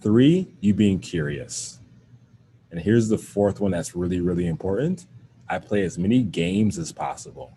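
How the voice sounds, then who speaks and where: A man's voice: deep voice, very animated, normal speed, dark tone, a little hiss or hum. A man who speaks with a stutter, giving a workshop talk to educators as a mental performance coach over a video call.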